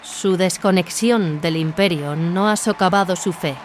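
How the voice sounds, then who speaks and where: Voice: medium pitch, a little slow, a crowd in the background, noisy background, pitched above the performer's own voice, lightly acted. A performer voicing a cautious, diplomatic warrior nun commander, formal and authoritative, in an audio drama. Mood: formal greeting.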